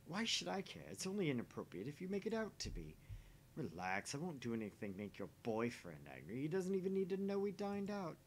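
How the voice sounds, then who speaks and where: Smug, sneering married man acted by a male player for a visual novel; condescending, very animated intonation, faint rumble behind. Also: a little fast. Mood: teasing. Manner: mocking, condescending. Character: smug, sneering, jaded married man teasing his dinner date. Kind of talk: voice-acting visual novel dialogue. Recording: faint background rumble and noise.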